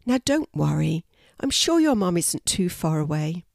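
A woman voicing a kind female bush animal in a children's storybook, soft and reassuring. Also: on the low side, sing-song, unhurried, creaky, dry recording, studio quality, full character voice. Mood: gentle, kind.

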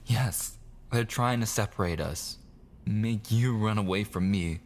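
Guy doing a jealous obsessive boyfriend asmr roleplay, really slow soft pleading w whispers, kinda menacing, noisy background. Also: lowish voice. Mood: possessive, pleading. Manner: pleading, halting and repetitive, slipping into breathy whispers.

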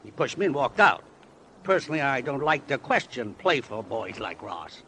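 Cagey sarcastic lawyer, mid pitch, animated, old radio crime drama, faint noise. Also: male voice, moderate pace. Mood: mocking, evasive. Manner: glib, needling, playful sarcasm. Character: slick, cagey, mocking male lawyer. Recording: slightly noisy vintage radio recording.

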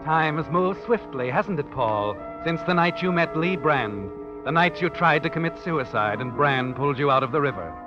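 A man performs an ominous, knowing male narrator of old radio suspense. His fairly high voice swings widely as he insinuates to the character, with prominent music behind him. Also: expressive, moderate pace, natural voice. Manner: insinuating, addressing the character directly. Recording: dull vintage radio recording, music behind.

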